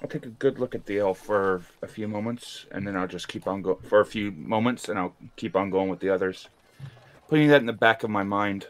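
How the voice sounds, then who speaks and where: A man chatting casually as a player in a tabletop game, deliberately explaining what he does. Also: on the low side.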